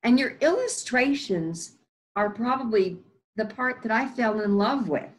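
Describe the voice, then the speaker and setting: A woman's voice: fairly high pitch, expressive, measured pace, poor audio. A woman hosting an interview, asking friendly, encouraging questions that ramble a little. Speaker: interviewer, host. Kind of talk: casual interview.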